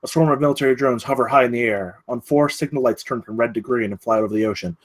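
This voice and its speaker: A man's voice: medium pitch, fast talker. A man reading stage directions at a screenplay table read, in a dramatic, clipped way.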